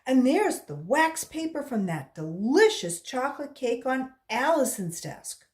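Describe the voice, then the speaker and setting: A woman's voice: high voice, big pitch swings, a little slow, pitched above the performer's own voice. A woman voicing a sly, smug schoolgirl eagerly tattling on classmates in a children's storybook.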